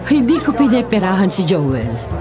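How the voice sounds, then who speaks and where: An actress playing a bashful, gentle elderly grandmother in a Filipino radio drama, with a coy, mildly protesting manner. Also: mid-range voice, sing-song, moderate pace, crowd noise, lightly acted. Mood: embarrassed, pleading. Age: older. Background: music.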